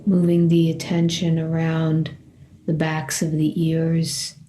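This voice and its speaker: Woman meditation guide: soft-edged dark tone, even delivery, gentle and unhurried, over some background noise. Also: sits low. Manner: gentle, unhurried, with long pauses between short phrases. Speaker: meditation teacher. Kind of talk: guided body scan meditation.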